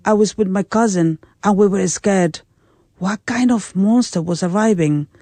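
A woman's voice: medium pitch, unhurried, warm tone, no room sound. A woman reading a first-person translated account as a voice-over.

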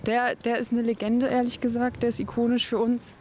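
A woman's voice: on the high side, flat delivery, brisk, a little mellow, a little hiss or hum. A woman interviewed at a festival, answering thoughtfully and casually. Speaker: festival visitor. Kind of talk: street interview.

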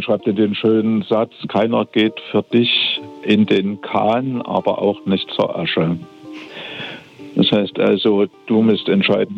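Gravelly-voiced man on a muffled phone line. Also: lowish voice. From Dresden, Germany. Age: 50s. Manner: reflective, with frequent hesitations and restarts. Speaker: interview guest, academy director. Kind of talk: phone interview. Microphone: telephone line.

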